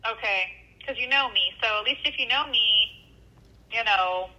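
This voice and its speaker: A woman working a helpline, speaking gently and hesitantly with many fillers on a phone call. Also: fairly high pitch, moderate pace. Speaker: helpline worker.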